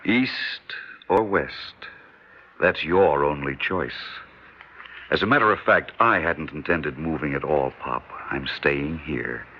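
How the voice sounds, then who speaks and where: An actor playing a mysterious, solemn truck driver who speaks of death in a 1950s radio drama. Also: male voice, slow. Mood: ominous calm. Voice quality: deep, calm, solemn and cryptic. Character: ominous mysterious male trucker, death-like stranger. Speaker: stranger at a diner. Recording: dull old radio recording with faint hiss.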